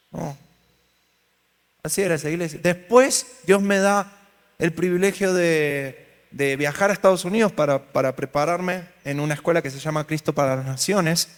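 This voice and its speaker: A man with an Argentine Spanish accent, teaching a workshop to a church audience in a joking, storytelling way and asking them questions. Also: sits high. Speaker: pastor leading a church workshop. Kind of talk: lively workshop talk to a congregation. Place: large hall with an audience. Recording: hall reverb.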